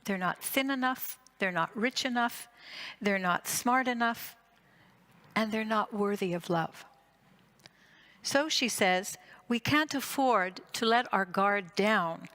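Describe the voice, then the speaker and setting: Woman, 60s, raised between Greece and Canada. Conference speaker, psychotherapist; mid-pitched voice, deliberate phrasing, prepared personal lecture. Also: fairly bright tone. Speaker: psychotherapist giving a conference talk.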